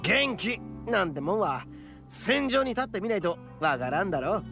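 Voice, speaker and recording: Hot-blooded oni braggart, high-pitched for a man, gravelly edge, rough masculine speech, anime game. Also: big pitch swings, moderate pace. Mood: confident, explaining. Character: boisterous, cocky young male oni, hot-blooded braggart. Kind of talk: anime-style game voice acting. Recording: studio voice over game music. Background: background music.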